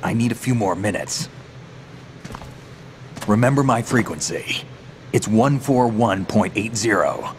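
A man's voice: fairly low pitch, expressive, measured pace, pauses often. A man with an American accent playing a seasoned, guarded, terse military operative in a video game. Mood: terse, cool. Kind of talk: video game cutscene acting. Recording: clear vehicle and ambience noise behind.

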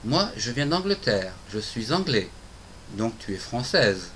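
Middle-aged male teacher, lively clear lines, hissy room recording. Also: mid-range voice, a little slow. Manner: clear, simple phrases spoken for learners. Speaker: French teacher. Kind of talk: scripted dialogue for a language lesson. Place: home room with bookshelves, indoors. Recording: noisy home video.

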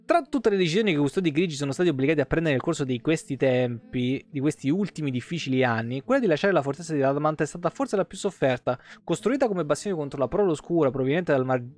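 A man's voice: fairly high pitch, animated, fast talker, hardly any pauses. A man, livestreaming as he reads game lore aloud expressively, with offhand asides and self-corrections. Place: indoor room, webcam livestream. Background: soft guitar game music.

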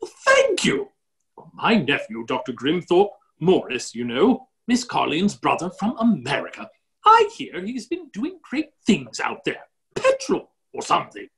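Blustering, scatterbrained duke, swooping pitch. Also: male voice, wildly swooping pitch, unhurried, vocal fry, fairly bright tone, full character voice. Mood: cheery, hurried. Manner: blustering, rambling, jovial. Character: hearty, scatterbrained aristocratic gentleman duke. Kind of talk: theatrical comic play reading. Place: office room on a video call.

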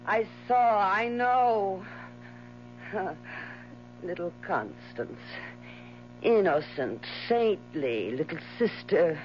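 An actress playing a bitter, jealous dying baroness in a theatrical, taunting 1970s radio drama style. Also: female voice, medium pitch, expressive. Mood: venomous, mocking. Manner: theatrical, taunting, drawn-out delivery. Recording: dull 1970s radio recording with hum.

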